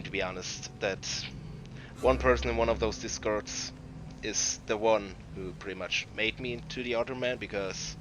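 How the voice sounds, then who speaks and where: A man's voice: lowish voice, background noise. A man who is not a native English speaker, giving casual, rambling let's play commentary, often interrupting himself. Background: video game music and sounds.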